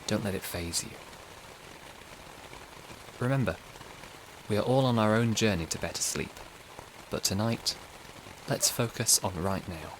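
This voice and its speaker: A man hosting a guided sleep meditation podcast, giving soothing, gentle, unhurried instructions. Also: lowish voice, background noise.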